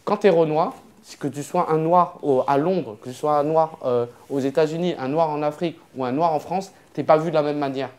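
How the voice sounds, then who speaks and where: A man's voice: fairly high pitch, a little fast, hardly any pauses, darkish tone. A young adult man, a comedian guest, talking in a casual conversation and weighing his words carefully.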